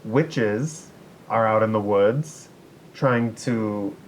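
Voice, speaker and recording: Man chatting casually over low rumbling noise. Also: extremely slow. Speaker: podcast co-host. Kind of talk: casual comedic chat. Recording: noisy recording with low rumble.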